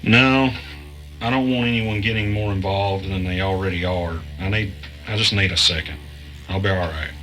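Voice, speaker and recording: A man acting as the weary narrator of a mystery audio drama, recounting an arcade ordeal in a measured, halting way. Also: deep voice, fairly even intonation, pushed and bright, soft background music, poor audio. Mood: queasy, determined. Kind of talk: first-person audio drama narration with dialogue.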